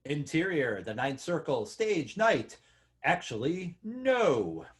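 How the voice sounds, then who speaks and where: A man's voice: on the high side, very animated, takes it slowly. A man reading short script captions as narration in a table read. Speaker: caption reader.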